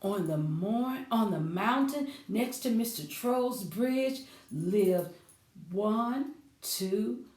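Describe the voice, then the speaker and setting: High-voiced woman, very slow storytime delivery. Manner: simple explanations and questions to young children. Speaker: children's librarian storytime host. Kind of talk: children's storytime read-aloud and sing-along. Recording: echoey room.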